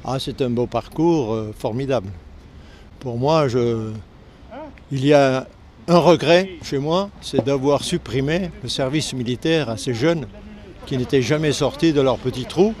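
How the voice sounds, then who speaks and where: An older man with a southern French accent from Lozère, a retired air force serviceman speaking earnestly in a street interview, halting when the subject turns painful. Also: mid-range voice, darkish tone. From Lozère, southern France. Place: outdoors on a city street.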